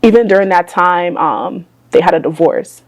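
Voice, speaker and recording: A young woman telling her life story in a relaxed interview, talking softly with frequent ums and small laughs. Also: on the low side, normal speed. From born in Ghana, raised in the US. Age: young adult. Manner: soft-spoken, many ums and little laughs. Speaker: guest. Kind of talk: casual interview.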